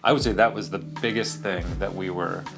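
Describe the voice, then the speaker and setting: A man's voice: deep voice, steady tone, fairly quick, creaky, music in the background. A middle-aged American man talking casually in a studio control room, explaining with easy fillers. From United States. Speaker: platform developer, interviewee. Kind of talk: casual conversation.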